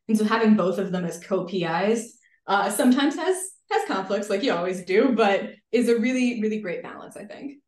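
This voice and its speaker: A young woman in her twenties, a graduate student guest, answering interview questions in an earnest, lively way with many fillers over a video call. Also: mid-range voice. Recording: roomy video call audio.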